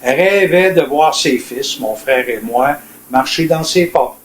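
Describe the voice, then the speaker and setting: Slow-talking Quebecois man in his seventies. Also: fairly high pitch, animated. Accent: Quebec French. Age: 70s. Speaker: retired police officer being interviewed. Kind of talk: personal storytelling in an interview. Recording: echoey room with faint noise.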